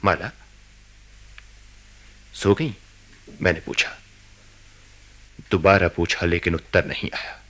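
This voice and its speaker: A man acting a brooding, restless husband in a radio drama, in a measured, introspective and hesitant manner. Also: low-pitched, steady tone, fairly bright tone. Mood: brooding, weary. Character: brooding, restless, bored husband confiding in his diary.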